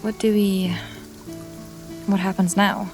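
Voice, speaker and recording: A woman acting as a young female apprentice mage with a mid-pitched voice, vulnerable and sincere. She talks hesitantly, in fantasy audio drama style, over music and rain. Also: level tone, slow, lightly acted. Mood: subdued.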